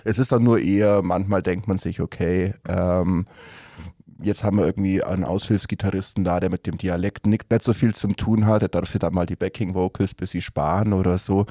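A man's voice: deep voice, fairly even intonation, a little fast, mellow, no room sound. A man, a band member, chatting in an interview with dry, joking wit. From Swabian side of the Lech, Bavaria. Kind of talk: casual interview chat.

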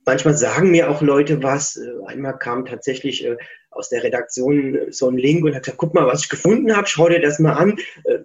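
A man from Germany, an author, answering interview questions over the phone in a relaxed, chatty way with many fillers. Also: mid-range voice. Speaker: book author. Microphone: phone line. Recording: muffled phone-call sound.

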